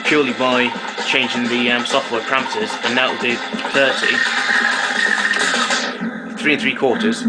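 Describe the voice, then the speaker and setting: A man's voice: medium pitch, steady tone, normal speed, long pauses, over loud music. A man giving an informal technical demonstration, explaining things in a matter-of-fact, slightly hesitant way. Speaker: tape recorder repairer giving a demonstration. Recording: noisy amateur recording with clicks.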